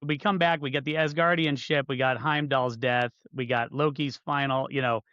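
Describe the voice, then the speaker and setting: A man's voice: mid-range voice, fairly even intonation, normal speed, a bit bright, clean tone, no echo, highs cut off. A man hosting a podcast, rambling through his thoughts with lots of restarts. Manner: rambling, many fillers and restarts. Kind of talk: casual chat about a movie.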